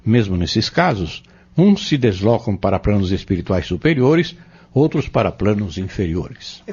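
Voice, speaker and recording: A man playing a patient, earnest Spiritist teacher in an audio drama, explaining step by step in a measured, didactic way. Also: on the low side, big pitch swings, a little mellow, husky, exaggerated voice. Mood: patient, instructive. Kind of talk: scripted audio drama dialogue. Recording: dull lo-fi audio.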